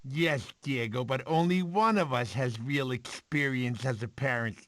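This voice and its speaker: A man's voice: mid-range voice, measured pace, noisy background, lightly acted. A man voicing a goofy, boastful, doting cartoon sloth uncle who tells bedtime stories theatrically and stumbles over his words. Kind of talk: animated film voice acting, bedtime storytelling.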